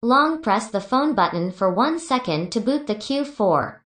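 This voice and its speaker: A woman's voice: fairly high pitch, animated, measured pace, fairly bright tone, reverberant. A woman giving scripted product tutorial narration in clipped, step-by-step instructions.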